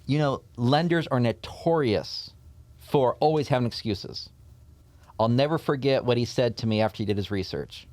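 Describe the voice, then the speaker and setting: A man's voice: mid-range voice, moderate pace, darkish tone, dry recording. Man, podcast guest, earnest storytelling, casual chat, mid-range voice, dry sound. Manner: earnest, tells anecdotes.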